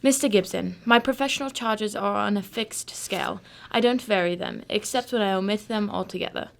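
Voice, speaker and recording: Woman acting as composed female detective, creaky mid-range voice, formal, precise, analytical, radio drama. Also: medium pitch, fairly quick, lightly acted. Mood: cool, formal.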